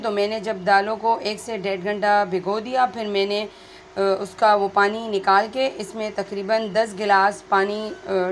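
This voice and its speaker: A woman with a hoarse, sore throat, chatting straight to viewers as she hosts a casual home cooking tutorial, filmed on a phone in her kitchen. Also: medium pitch, a little hiss or hum. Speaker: cooking channel host.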